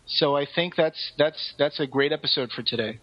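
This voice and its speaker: A man with a slightly high voice co-hosts a podcast over a dull, lo-fi recording. His delivery is informal and conversational. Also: moderate pace. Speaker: podcast co-host. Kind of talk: casual podcast chat. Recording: dull, lo-fi voice connection.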